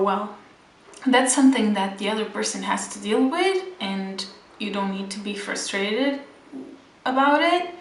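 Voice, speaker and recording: A woman's voice: fairly high pitch, a little hiss or hum. A woman who is not a native English speaker, giving reflective advice to viewers as a vlogger and sometimes searching for her words. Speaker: vlog host. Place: indoor room at home. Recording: echoey room, distant mic.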